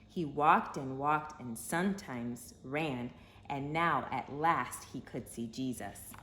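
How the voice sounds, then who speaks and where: A woman reading a children's story aloud in an expressive, dramatic way, with emphatic phrasing for young listeners. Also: very deep voice, slow. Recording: echoey room with faint background noise.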